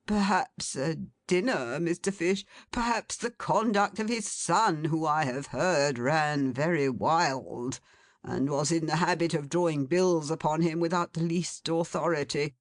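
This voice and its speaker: A woman's voice: sits low, dry recording, clean studio sound. A woman voicing a pompous, sanctimonious male alderman who moralizes in grand, solemn phrases.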